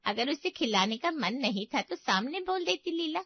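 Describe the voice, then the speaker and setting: Storybook guest female actor: older relative, reproachful, indignant, fairly high, slightly muffled sound. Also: big pitch swings, moderate pace. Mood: offended. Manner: indignant, cutting.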